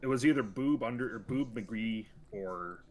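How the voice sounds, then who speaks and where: A man's voice: medium pitch, measured pace, darkish tone. A man playing in a tabletop game over a voice call, chatty and self-deprecating. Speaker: player. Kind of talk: casual tabletop game session.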